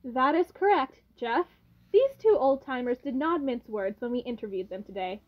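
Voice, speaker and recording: A woman playing a cheerful, excitable sports co-commentator in a comedic radio play, with an animated announcer delivery. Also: sits high, normal speed. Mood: composed. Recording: dull, noisy amateur recording.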